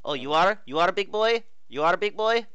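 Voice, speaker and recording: A man's voice: sits high, expressive, quick pace, clean tone, no echo, muffled recording. A man playing a hostile local warlord who speaks broken English and curses at foreign soldiers. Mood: furious. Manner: broken English, pleading then cursing and shouting. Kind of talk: milsim roleplay.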